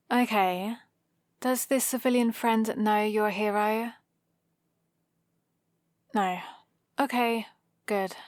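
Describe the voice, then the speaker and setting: Woman doing a british villainess for asmr roleplay, kinda high voice, slow teasing delivery, secretly caring. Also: no echo, studio quality. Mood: cautious, accommodating. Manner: teasing, sardonic, casually conversational. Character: proud scheming female supervillain, gruffly caring for a rescued hero. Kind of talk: ASMR roleplay, intimate character acting.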